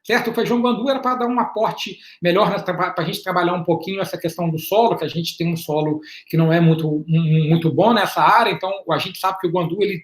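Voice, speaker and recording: A man giving a slide presentation as an invited panelist in an online talk. He speaks in a halting, explanatory way, repeats words and often checks in with a questioning "right?". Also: high-pitched. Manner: explanatory, measured. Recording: roomy echo on an online call.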